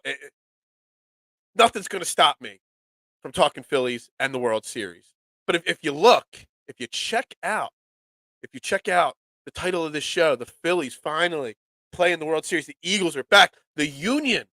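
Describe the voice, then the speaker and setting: A man hosting a live sports talk show, nasal and congested from a cold, excitable and rambling. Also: on the high side, normal speed, no room sound. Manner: excitable, rambling, restarts often.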